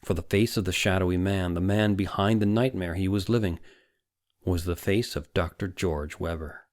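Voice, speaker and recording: A man narrating an audiobook story in a dramatic, suspenseful manner with deliberate phrasing. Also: low-pitched, steady tone, a little mellow, no echo, studio quality. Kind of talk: dramatic scripted fiction narration.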